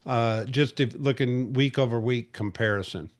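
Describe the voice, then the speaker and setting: A man's voice: medium pitch, normal speed. A man in his forties hosting a real estate industry call, talking casually with lots of fillers and restarts. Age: 40s. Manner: chatty, rambling, frequent fillers and restarts. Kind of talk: casual market update briefing.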